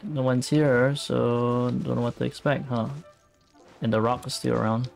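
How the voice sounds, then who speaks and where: A male game streamer with a gravelly, mid-pitched voice. He talks slowly and offhandedly in casual commentary. Faint music sits behind him. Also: little pitch movement.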